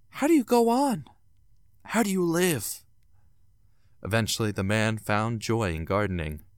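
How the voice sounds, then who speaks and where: Dramatic male narrator with swooping pitch. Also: a bit bright, smooth, no room sound, clean studio sound. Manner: dramatic, theatrical storytelling. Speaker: narrator and announcer. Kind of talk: scripted audio drama narration. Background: music.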